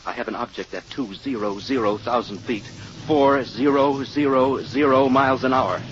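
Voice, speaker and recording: A man's voice: mid-range voice, moderate pace, background noise, natural voice. An actor playing a terse male military radio operator who reports in clipped procedure in a 1950s radio drama. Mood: terse, intent. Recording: dull old radio recording with music.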